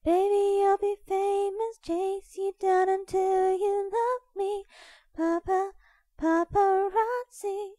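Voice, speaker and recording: A woman's voice: very high-pitched, fairly even intonation, slow, mellow, no room sound, studio quality, soft background music. A woman amateur singer casually singing songs a cappella from memory, without any backing. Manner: casual, informal, unaccompanied singing.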